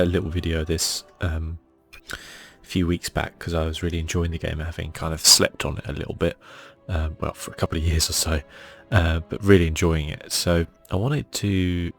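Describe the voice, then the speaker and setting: A soft-spoken man hosting a relaxed ASMR game playthrough, casual and a little hesitant in his delivery. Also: low-pitched, creaky. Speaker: gaming channel host.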